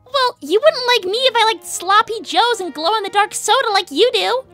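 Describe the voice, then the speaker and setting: A woman's voice: very high-pitched, animated, moderate pace, a bit bright, faint music behind, heavily acted. A woman voicing a lovestruck, fiery young female pink hedgehog in a fan cartoon, gushing and dramatic. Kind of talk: fan-made cartoon voice acting.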